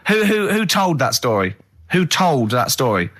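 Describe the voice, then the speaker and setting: A man hosting a radio show with casual comic banter, animated and quick to act out dialogue. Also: a little high. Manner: animated, breaks into laughter, acts out dialogue. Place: radio studio.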